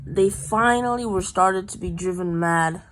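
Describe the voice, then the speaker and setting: A woman's voice: medium pitch, a little slow. A woman giving scripted narration as a detective's partner in an amateur radio play, with some noise and clicks on the recording.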